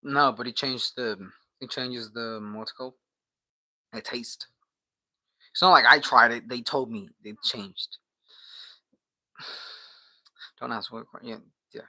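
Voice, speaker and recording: Male livestreamer from Guatemala, Spanish native. Very sharp, bright tone, mid pitch, slangy and loose with swearing. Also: steady tone, takes it slowly, pauses often, no room sound. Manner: slangy, bro-heavy, swearing. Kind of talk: casual livestream chat.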